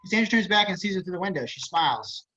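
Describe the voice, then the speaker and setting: Male stage-directions reader, slightly high voice, scripted narration, lo-fi online call sound. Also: lively intonation, quick pace, sharp tone. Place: indoors, on a video call. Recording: dull video-call audio.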